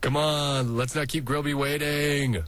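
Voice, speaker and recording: A man voicing a laid-back, easygoing skeleton older brother, casual and unhurried, in a comic dub. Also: a little high, fairly even intonation, a bit bright, no room sound. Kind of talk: fan comic dub acting. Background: faint music.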